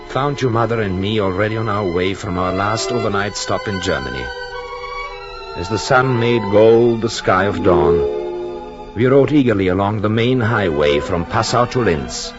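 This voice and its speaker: An actor playing an earnest, gentle husband and father-to-be in a 1940s radio drama, with formal, measured phrasing. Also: fairly low pitch, expressive. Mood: proud, eager. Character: earnest, tender husband and father-to-be, reading his journal. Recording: dull vintage radio recording with music.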